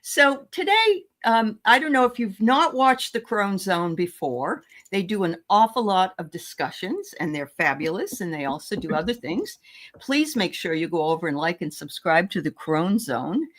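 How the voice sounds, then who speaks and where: A woman's voice: mid-range voice, big pitch swings, moderate pace, fairly bright tone. Chatty woman with a Boston accent. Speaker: host. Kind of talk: casual livestream chat.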